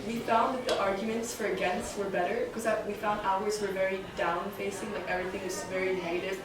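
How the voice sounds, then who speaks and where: A woman's voice: mid-range voice, fairly even intonation, fairly quick, background noise. Teen girl debater, lots of "like", echoey room, far mic. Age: teens. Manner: casual, filler-heavy talk. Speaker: student debater. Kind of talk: casual chat.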